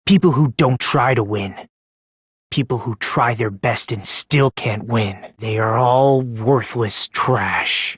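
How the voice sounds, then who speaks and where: Breathy young anime game guy, creepy polite, puts himself down, hope obsessed, theatrical, dry close recording. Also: mid-range voice, no room sound. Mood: quiet, bitter, fervent. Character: eerily polite young man, self-deprecating, obsessed with hope. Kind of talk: anime-style video game voice acting.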